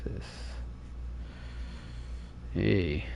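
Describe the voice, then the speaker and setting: A man livestreaming a video game in a casual, low-key way, muttering to himself and reading text aloud. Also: very slow. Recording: dull, slightly noisy stream audio.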